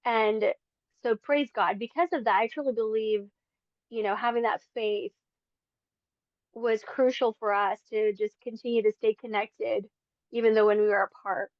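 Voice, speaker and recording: A woman's voice: a little high, fairly even intonation, moderate pace, dry recording, dull audio. Earnest woman from the Philippines. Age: 40s. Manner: earnest, chatty, many fillers. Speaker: podcast guest. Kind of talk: casual faith testimony. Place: home in Las Vegas.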